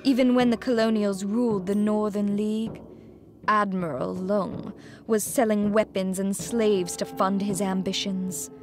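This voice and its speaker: An actress playing a grave, determined noblewoman war leader in a video game, solemn and measured. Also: medium pitch, fairly even intonation, soft background music, natural voice. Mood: pained, vengeful. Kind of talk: video game cutscene acting, dramatic briefing.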